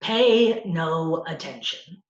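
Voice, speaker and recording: A brash little male city mouse from a storybook, acted out by a woman. He is streetwise and scornful, coaxing with a lively, swinging pitch. The room around the voice echoes. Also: very slow, far from the mic, lo-fi sound, exaggerated voice. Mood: scornful, knowing. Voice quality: squeaky. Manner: scornful, know-it-all, coaxing.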